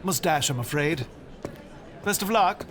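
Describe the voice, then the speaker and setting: A man's voice: a little high, animated, a little slow, a crowd in the background. A man playing a polite, eager young Englishman. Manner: polite, rambling, enthusiastic.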